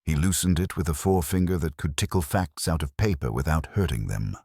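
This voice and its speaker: A man reading a scripted literary mystery story as narrator, in a measured, dryly wry manner. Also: extremely low pitch, expressive, creaky, a little mellow, breathy edge, no echo, clean studio sound.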